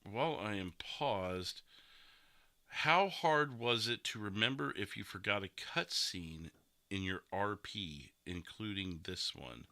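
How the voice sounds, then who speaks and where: A man's voice: on the low side, lively intonation, takes it slowly, pushed and bright, dry recording. A man hosting a casual discussion show, talking through recorded gameplay in an informal way with some swearing. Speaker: podcast host.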